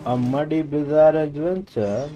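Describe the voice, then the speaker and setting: A man's voice: fairly high pitch, unhurried, dark tone, highs cut off, soft background music. A man with an Indian accent live-streaming a game, switching between English and Hindi as he reads story dialogue aloud and comments.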